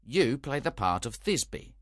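A man's voice: medium pitch, very animated, unhurried, no room sound, studio quality, pitched above the performer's own voice. A man voicing a firm, patient but easily exasperated troupe director giving instructions in an audiobook. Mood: reassuring. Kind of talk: audiobook character dialogue.

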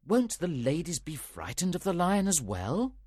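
A man voicing an agreeable, anxious amateur actor in a storybook, deferential, with a husky voice. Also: on the high side, huge pitch swings, moderate pace, a little mellow, dry recording, studio quality, voice pushed up. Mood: concerned, agreeable. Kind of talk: storybook character voice.

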